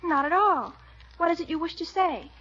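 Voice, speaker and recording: An actress playing an earnest young niece in a 1940s radio comedy. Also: female voice, very high voice, animated, moderate pace. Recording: dull old radio broadcast with loud hiss.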